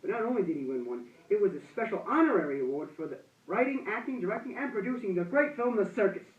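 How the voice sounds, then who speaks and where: A man's voice: sits high, expressive, fast, phone-quality sound. A young man playing a gushing, folksy host in an amateur 1920s radio broadcast. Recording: roomy, distant-miked amateur recording, thin sound.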